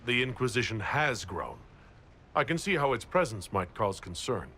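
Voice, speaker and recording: An actor playing a calm, polite male military leader in a video game, courteous with a dry manner. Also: medium pitch, normal speed, slight background noise. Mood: polite, composed. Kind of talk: video game cutscene acting.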